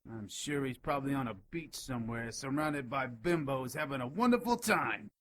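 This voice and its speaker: Cocky demon snitch for radio play: bright, rough-edged, sleazy, indignant wisecracker. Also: male voice, mid-range voice, animated, moderate pace. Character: whiny, cocky, wisecracking male demon informant. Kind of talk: audio drama acting.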